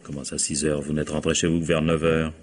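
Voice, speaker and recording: Deep-voiced police inspector, shrewd, wry, insistent, authoritative, vintage radio crime drama. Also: male voice, flat delivery, fast. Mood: pressing. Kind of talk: vintage radio mystery acting.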